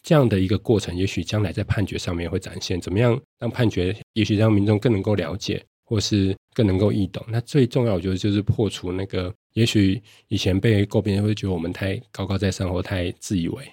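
A man's voice: low-pitched, steady tone, quick pace, hardly any pauses, mellow, husky, no echo, studio quality. A man with a Taiwanese Mandarin accent explaining things earnestly and at length, as a guest judge in a conversational interview.

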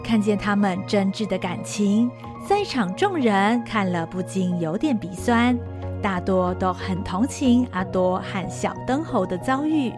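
A woman narrating an audio drama story in a gentle, expressive way. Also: medium pitch, unhurried, music in the background.

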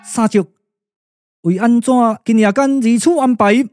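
A man's voice: very high voice, unhurried, darkish tone, no echo, studio quality, faint music behind, pitched above the performer's own voice. A man voicing a dutiful young warrior in a puppet radio drama, declaiming respectfully. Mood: respectful, keen.

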